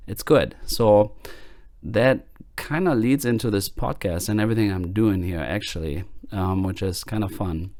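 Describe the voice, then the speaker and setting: Man, German accent, late 30s, podcast host, very deep voice, halting with fillers, dry close sound. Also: darkish tone, no room sound. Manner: hesitant, many fillers and restarts. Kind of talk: casual solo podcast talk.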